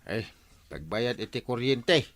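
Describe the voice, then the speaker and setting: A man's voice: mid-range voice, lively intonation, a little slow, fairly bright tone. A man playing an exasperated, grumbling village captain in a radio drama. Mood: grudging. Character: exasperated male village captain, easily worn out.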